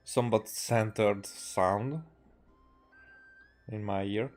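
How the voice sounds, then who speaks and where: A man from Hungary, native in Hungarian, commentates casually on a game. His voice is fairly low and very slow, halting and repeating words, with faint game music behind. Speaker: game streamer. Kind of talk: casual live gameplay commentary.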